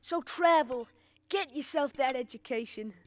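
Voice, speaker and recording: A woman acting a gentle, weary father in a radio drama, speaking patiently with small hesitations. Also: high-pitched, measured pace. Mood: earnest. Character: gentle, weary old father of a grown son. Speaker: student actor.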